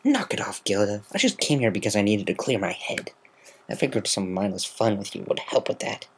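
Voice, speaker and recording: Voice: low-pitched, sing-song, a little fast, slight background noise. A reader performing a brash, proud cartoon pegasus mare, defensive and hurting underneath, in a dramatic fan fiction reading. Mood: irritated.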